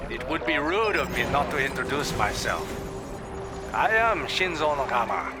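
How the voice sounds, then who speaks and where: An actor playing a haughty, mock-polite villain commander in a video game. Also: male voice, medium pitch, very animated, normal speed, lightly acted. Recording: loud game music behind.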